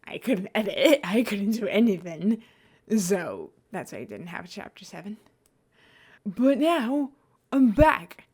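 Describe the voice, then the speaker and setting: A woman's voice: mid-range voice, very animated, takes it slowly, darkish tone, breathy edge. A young woman chatting casually to listeners as a host, hesitant, often trailing off and correcting herself. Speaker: podfic reader and host. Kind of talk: casual rambling chat to listeners.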